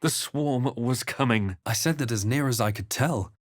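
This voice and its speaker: An actor playing a serious young adventurer hero in a video game, telling events with deliberate, dramatic restraint. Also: male voice, mid-range voice, no echo, clean studio sound, close to an everyday voice. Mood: grave, measured. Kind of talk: video game voice lines and past-tense storybook narration.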